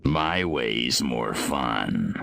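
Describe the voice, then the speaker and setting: A man voicing a surly, trigger-happy alien invader in a video game, gravelly and sardonic. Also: rumbling low, animated, pushed and bright, full character voice. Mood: sardonic, grumbling. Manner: sardonic, slow drawl. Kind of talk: video game cutscene acting.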